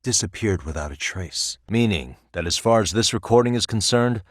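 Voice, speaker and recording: A man acting as a composed, sharp-witted defense attorney in a video game, speaking in measured, articulate, formal courtroom lines with a probing insistence. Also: on the low side, animated, no room sound, studio quality. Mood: probing, confrontational. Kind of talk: scripted dramatic courtroom dialogue in a game.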